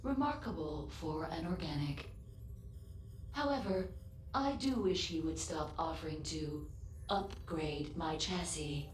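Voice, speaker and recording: A loyal female android speaks in a lowish, echoing voice over faint noise. She is formal, precise and matter-of-fact, a measured, literal-minded ship's assistant from a sci-fi game. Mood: composed, factual. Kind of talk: scripted video game voice acting.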